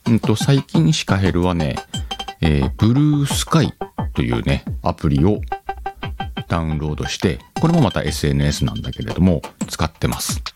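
A man with a very deep voice hosts a casual solo podcast. His delivery rises and falls in a lively way as he thinks aloud and talks straight to the listeners, with soft music underneath. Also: moderate pace. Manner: conversational, thinks aloud, addresses listeners directly. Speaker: podcast host.

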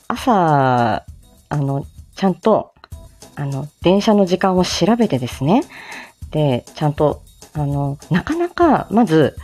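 A woman hosts a casual livestream in a distinctly low voice. Her intonation moves around a lot as she chats in a giggly, self-deprecating way. Faint music plays behind her. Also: mellow. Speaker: livestream host and voice actor. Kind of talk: casual morning livestream chat.

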